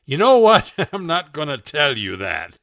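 A man's voice: mid-range voice, expressive, moderate pace, bright, forceful tone, dry recording, clean studio sound. A man playing an exasperated male cat owner from a comic strip, emphatic and correcting.

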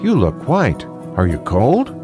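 Man acting mother, lightly pitched up, sensible, amused, matter-of-fact, storybook character. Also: wildly swooping pitch, slow, over music. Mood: concerned. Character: sensible, amused, attentive mother of a young boy.

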